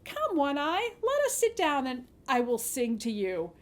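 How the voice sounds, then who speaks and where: A woman's voice: high voice, huge pitch swings, measured pace, heavily acted. A woman voicing a sweet young girl in a fairy tale, emphatic and singsong. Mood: bright, agreeable.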